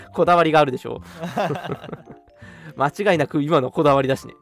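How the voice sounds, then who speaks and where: A man's voice: on the high side, normal speed. A young man co-hosting a radio show, chatting casually and laughing easily, sometimes reading out listener comments. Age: young adult. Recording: music behind the talk. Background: background music.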